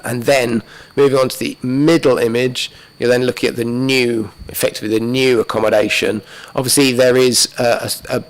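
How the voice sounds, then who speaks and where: A man presenting a planning application to a council committee, talking methodically through slides while losing his voice and clearing his throat. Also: medium pitch, rough recording. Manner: methodical, many fillers and restarts, talks through slides. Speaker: planning officer. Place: council chamber.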